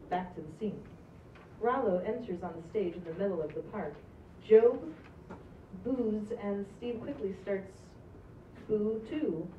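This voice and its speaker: A woman's voice: medium pitch, takes it slowly, pauses often, mellow, a little hiss or hum. A woman reads the scene headings and actions of a script aloud, plain and matter-of-fact. She sounds far from the mic, with a roomy echo and muffled, lo-fi sound. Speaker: stage directions reader. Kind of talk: reading script stage directions. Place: small stage with green backdrop. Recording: distant, roomy, dull audio.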